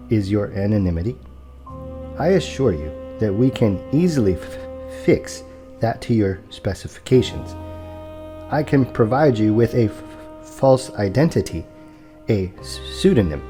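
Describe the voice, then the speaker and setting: An actor playing an unflappable, formal property broker in an audio drama, polite and precise, with a stutter on hard consonants. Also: male voice, lowish voice, dark tone.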